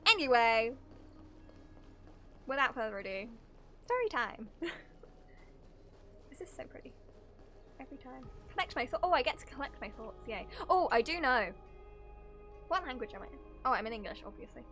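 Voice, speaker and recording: A woman's voice: sits high, very animated, normal speed, long pauses, fairly bright tone. A woman livestreamer with a giggly, playful manner, chatting casually and reading game story aloud as she reacts. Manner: giggly, playful, easily sidetracked. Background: game music.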